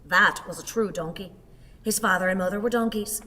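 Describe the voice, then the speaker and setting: An actress playing a fretful, long-suffering old wife in a radio play, fussing and pleading in a theatrical way. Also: female voice, medium pitch, a little fast, pushed and bright. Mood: fussing, soothing. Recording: reverberant hall.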